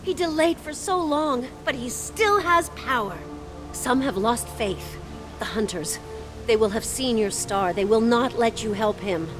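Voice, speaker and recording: A dazed, devoted castaway woman in a sci-fi game, voiced by an actress. She speaks high and hesitantly, in simple halting phrases. Music plays clearly behind her. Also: close to an everyday voice. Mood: dazed, fearful. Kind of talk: video game voice acting.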